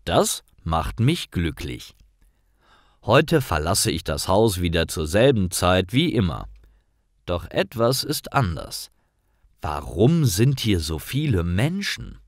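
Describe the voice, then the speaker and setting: Deep, slow male story narrator. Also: dry recording, clean studio sound. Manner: careful, simple short sentences. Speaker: narrator of language-learning stories.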